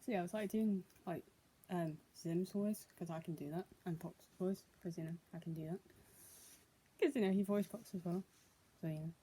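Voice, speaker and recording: A woman's voice: fairly low pitch, moderate pace, mellow, slightly rough, rough recording. A young adult woman, speaking as a vlogger in a casual, homemade style. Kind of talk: casual vlog, reading news aloud and chatting.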